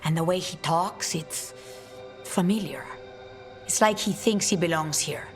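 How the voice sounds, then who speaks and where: A woman's voice: lowish voice, measured pace, soft background music. A woman voicing a fierce, testy female assassin in a video game, speaking in a curt, menacing way. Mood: bitter, suspicious.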